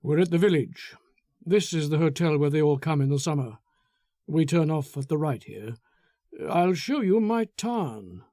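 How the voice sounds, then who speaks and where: A man's voice: fairly high pitch, lively intonation, normal speed, dark tone, no echo, studio quality, lightly acted. A man voicing a brooding, resentful man in an audiobook, terse and restrained, hiding menace behind courtesy. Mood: quiet, controlled menace. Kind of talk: audiobook character acting.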